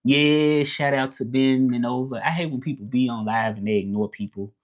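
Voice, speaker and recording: A man's voice: medium pitch, moderate pace. Rambling Southern US man chatting live. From Southern United States. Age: 30s. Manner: rambling, repeats himself, reads out and answers chat. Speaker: livestream host. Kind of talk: casual livestream chat with viewers.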